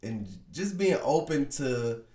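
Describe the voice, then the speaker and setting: A man's voice: medium pitch, expressive, takes it slowly, mellow, recorded from a distance. A man in his thirties hosting a podcast with laid-back, slangy casual chat. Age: 30s. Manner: laid-back, slangy, swears and jokes freely. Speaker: podcast host. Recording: echoey room, voice off-mic.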